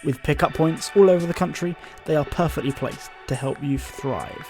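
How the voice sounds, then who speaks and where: A man's voice: on the high side, moderate pace, darkish tone, faint music behind, natural voice. A man playing an inquisitive history podcast host from a post-apocalyptic future, in a scripted interview style. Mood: measured, presenting.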